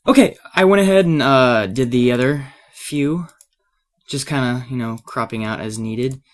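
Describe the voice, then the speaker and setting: A man with a cold and a slightly raspy voice gives a casual, unscripted software tutorial, hesitating and thinking aloud as he works. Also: medium pitch, normal speed. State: has a cold. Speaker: tutorial presenter.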